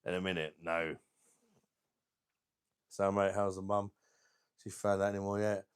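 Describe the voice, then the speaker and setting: A middle-aged man with a deep voice and a sore throat, chatting casually as he hosts a beer channel livestream and reads out viewer comments in a rambling, laid-back way. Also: level tone, a little slow, clean tone. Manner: rambling, laid-back, chuckling between remarks. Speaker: beer review channel host.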